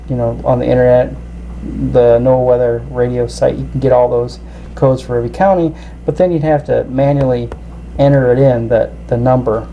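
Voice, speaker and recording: A man explains a product in a casual, halting way. His voice is mellow and sits at a middling pitch. There is faint noise in the indoor recording. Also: rough recording. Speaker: product reviewer. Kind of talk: casual gadget review demonstration.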